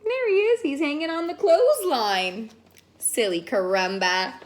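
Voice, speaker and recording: A woman's voice: high voice, unhurried. A woman teacher reading a storybook aloud to young children, playful, with simple questions and explanations.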